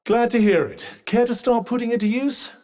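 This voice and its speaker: A man's voice: very high voice, fairly even intonation, moderate pace, darkish tone, distant mic. A man with a British accent voicing a talking magic cuff in a video game, a dry, eloquent companion who coaches through battle. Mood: confident, encouraging. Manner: sardonic, eloquent, urgent coaching.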